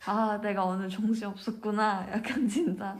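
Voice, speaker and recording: A woman co-hosting a casual chat, relaxed and chatty with drawn-out pauses. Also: medium pitch, slight background noise. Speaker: co-host.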